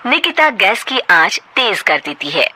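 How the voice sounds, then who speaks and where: A woman's voice: mid-range voice, sing-song, measured pace, bright, forceful tone. A woman narrating a scripted story as its storyteller.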